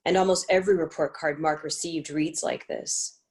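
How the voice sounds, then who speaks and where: A woman's voice: low voice, steady tone, normal speed, a little mellow. A woman reading scripted narration for a play, introducing characters as the narrator.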